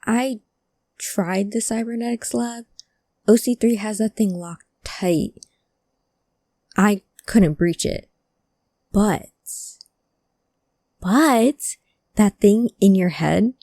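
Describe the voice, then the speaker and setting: Woman acting distressed but determined hacker, cyberpunk audio drama, mellow timbre, halting earnest delivery, dry close sound. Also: medium pitch, no echo. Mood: urgent. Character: distressed, determined hacker woman seeking her lost friend.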